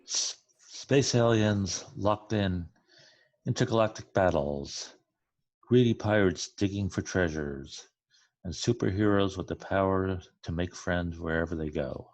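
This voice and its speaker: A man's voice: low voice, measured pace, dry recording. A man reading a children's picture book aloud. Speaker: read-aloud reader.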